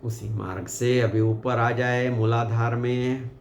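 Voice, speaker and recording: Slow, creaky-voiced Indian man guiding meditation. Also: mid-range voice. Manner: calm, unhurried, gently directive. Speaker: meditation guide. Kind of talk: guided meditation in a slow, instructional flow. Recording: muffled, roomy sound with background hiss.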